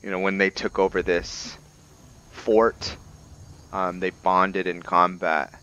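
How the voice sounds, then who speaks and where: A man giving casual let's-play commentary and roleplay narration in a halting, musing way, often correcting himself. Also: low-pitched, little pitch movement, sharp tone, clean tone, lo-fi sound. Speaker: host of a roleplay gaming series.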